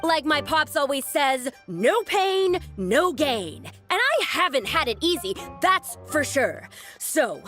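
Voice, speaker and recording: A self-important young archaeologist for a video game. Her voice is high and very lively in pitch. She declaims pompously and bristles easily, over faint music. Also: slow, full character voice. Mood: boastful. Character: boastful, hot-tempered young woman, self-proclaimed famous archaeologist. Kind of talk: video game cutscene acting.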